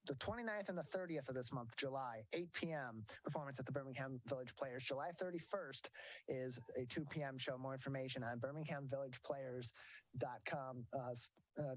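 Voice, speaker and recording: A man's voice: mid-range voice, no echo, low-quality recording. A man hosting a broadcast interview, speaking in a wordy way and repeating details for listeners. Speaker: interview host.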